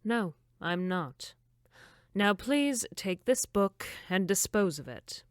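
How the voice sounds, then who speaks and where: A woman's voice: mid-range voice, animated, slow, smooth, dry recording, studio quality. A woman playing a prim, condescending female camp director in a horror audio drama, coolly polite. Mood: stern, dismissive. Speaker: voice actor.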